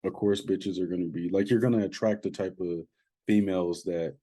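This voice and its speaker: A man's voice: deep voice, fairly even intonation, brisk, dark tone. A young man co-hosting a podcast, talking bluntly and informally, full of slang. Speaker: podcast co-host. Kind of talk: casual commentary chat.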